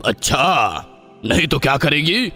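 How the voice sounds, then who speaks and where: A man's voice: a little high, expressive, takes it slowly, pushed and bright, full character voice. Man acting a cruel, scornful boss in reverb. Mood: threatening. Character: cruel, scornful male boss, a murderous bully. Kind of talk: animated horror story acting. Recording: long reverb, music underneath.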